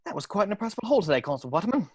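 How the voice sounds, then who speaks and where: A man's voice: high-pitched, very animated, very fast, darkish tone, husky, no echo, studio quality, heavily acted. A man reading a clipped, proper cop. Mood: polite. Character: stiff, formal adult male police officer. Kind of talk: table read over video call. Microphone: video call.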